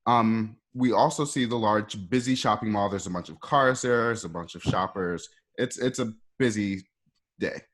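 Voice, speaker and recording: A man's voice: fairly low pitch, moderate pace. A man reading out stage directions for a radio play in an informal, conversational way, with asides to the listeners. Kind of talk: live table-read narration of a screenplay.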